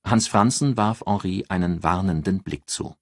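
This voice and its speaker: A man giving scripted audiobook narration of a novel in a measured, careful storytelling manner. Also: sits low, no echo, studio quality.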